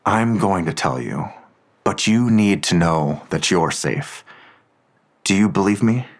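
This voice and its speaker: An actor playing a protective, secretive man digging into a crime, speaking in a measured, reassuring way in an audio drama. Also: low-pitched, animated, full character voice. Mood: earnest, reassuring.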